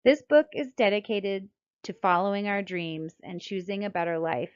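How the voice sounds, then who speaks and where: A middle-aged woman with an American accent reads aloud like a children's author presenting a book. Her pitch sits in the middle range and her delivery is deliberate and expressive. The recording is a bit dull and lo-fi. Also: clean tone. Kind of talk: scripted read-aloud storytelling and narration. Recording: dull, lo-fi sound.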